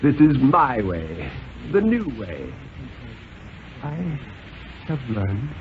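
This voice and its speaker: A man voicing a six-year-old boy who is subdued, hesitant and quietly stubborn, in a 1950s radio reading. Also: medium pitch, extremely slow, full character voice. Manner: hesitant, small, flat, resigned. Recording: tinny, noisy 1950s radio recording.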